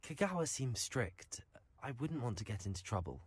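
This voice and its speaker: A man's voice: medium pitch, big pitch swings, moderate pace, breathy edge, no room sound. A man playing a young student wizard learning to fly in a video game, polite and mild in manner. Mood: hesitant. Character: young student wizard, keen new broom flyer.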